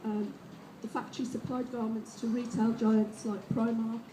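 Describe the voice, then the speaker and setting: A woman's voice: on the high side, moderate pace, reverberant, far from the mic, background noise. A woman reading a prepared speech at a rally and introducing the next speaker. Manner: steady, reading from notes. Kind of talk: read-out speech.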